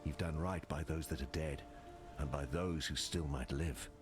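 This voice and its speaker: An actor playing a gruff, plain-spoken, honorable man in a video game, emphatic and solemn in delivery. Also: a bass voice, fairly even intonation, normal speed. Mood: astonished, solemn. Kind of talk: video game character dialogue. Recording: music behind the voice.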